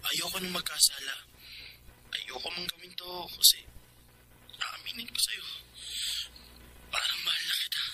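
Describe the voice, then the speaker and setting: A man's voice: high voice, a little slow, pauses often, background noise. A man playing a guilt-ridden young lover in a radio drama, hesitant and earnest. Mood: guilty, sorrowful. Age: young adult. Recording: radio drama with music behind.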